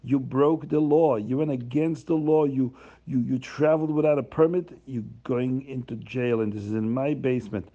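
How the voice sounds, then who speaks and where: A man's voice: mid-range voice, fairly even intonation, normal speed, natural voice. A man doing a stern, unbending rabbi. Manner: firm and insistent. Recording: slightly muffled lo-fi recording.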